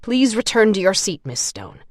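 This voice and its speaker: A woman voicing a stern male high-school teacher who speaks in a firm, authoritative way. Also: huge pitch swings, moderate pace, no echo, studio quality. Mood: commanding.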